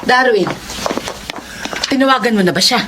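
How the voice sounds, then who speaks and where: A woman playing a scheming, excitable middle-aged mother with a Filipino accent in a radio drama. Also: a little high, normal speed, background noise, natural voice. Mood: alarmed. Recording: music bed behind the voice.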